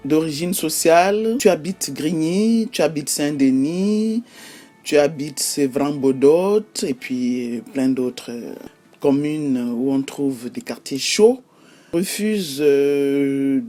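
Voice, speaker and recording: A woman with a Cameroonian accent talks her viewers through examples in an unhurried, deliberate vlog delivery. She moves from point to point with small hesitations. Soft music plays quietly underneath. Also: extremely low pitch. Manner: measured, lists examples one by one with frequent hesitations. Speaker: YouTube host. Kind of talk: explanatory vlog talk.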